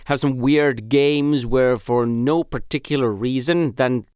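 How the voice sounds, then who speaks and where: A man's voice: medium pitch, measured pace, a little mellow, smooth, no echo, studio quality. A man who speaks Finnish as his first language, eagerly giving his views as a podcast guest. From Finland. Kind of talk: podcast commentary.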